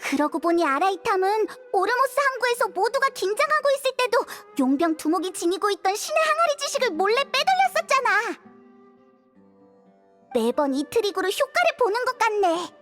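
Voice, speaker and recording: A woman voicing a small, excitable childlike sidekick girl in a video game, chattering and full of exclamations. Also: extremely high pitch, moderate pace, full character voice. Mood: excited, relieved. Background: background music.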